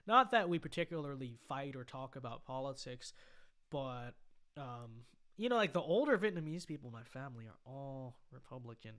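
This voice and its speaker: Young American male livestreamer, higher-pitched bright voice, casual, halting and repetitive delivery. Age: young adult. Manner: halting delivery with repeated phrases. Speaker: livestream host. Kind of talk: casual livestream chat answering viewer comments.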